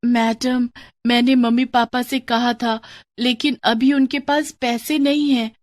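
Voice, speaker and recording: A woman's voice: a little high, level tone, a little slow, no echo, clean studio sound, voice pushed up, lightly acted. A woman voicing a humble, earnest poor schoolgirl in a storybook tale, polite and plain-spoken. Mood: pleading, earnest. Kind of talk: storybook character dialogue.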